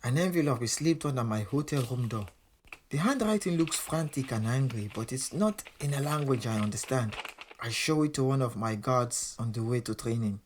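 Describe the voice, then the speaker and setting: An actor playing a proud, defiant champion boxer from Senegal, narrating a 1920s audio drama in the first person. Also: male voice, medium pitch, lively intonation, normal speed, natural voice. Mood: wary, uneasy. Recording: loud crowd roar, heavy background noise. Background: cheering fight crowd and ambience.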